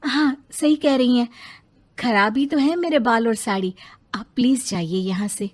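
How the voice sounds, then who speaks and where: A woman's voice: fairly high pitch, unhurried, breathy edge. A woman voicing a meek, patient young wife in a story, polite, sweet and conciliatory. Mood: calm, placating. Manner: soft-spoken, polite, conciliatory.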